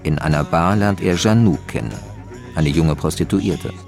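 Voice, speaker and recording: A man's voice: sits low, normal speed, gravelly, darkish tone. A man narrating a radio play as a sober, factual chronicler of crimes, in a scripted documentary style. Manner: factual, matter-of-fact. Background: music.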